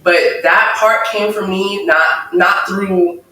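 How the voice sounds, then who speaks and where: A woman guest talking about her life, earnest and thoughtful, pausing between her thoughts. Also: medium pitch, sharp tone, a little hiss or hum. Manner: earnest, thoughtful, measured with pauses. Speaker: interview guest. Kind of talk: reflective personal testimony. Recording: echoey room, mic far off.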